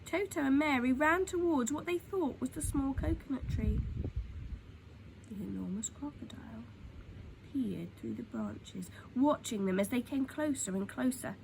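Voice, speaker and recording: A woman reading a children's story aloud in an expressive, dramatic way, dropping into hushed tones for suspense. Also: sits high, measured pace, poor audio. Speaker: storytime reader. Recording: clear background noise.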